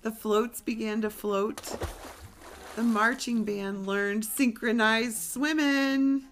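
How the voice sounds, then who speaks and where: A woman reading a children's story aloud in a deliberate, expressive storytime style. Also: on the high side, soft background music.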